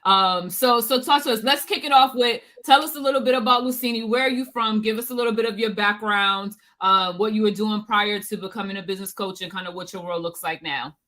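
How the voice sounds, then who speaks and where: A woman's voice: medium pitch, fairly quick, hardly any pauses, sharp tone. A woman hosting an interview podcast, enthusiastic and bubbly, speaking over a video call. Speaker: podcast host.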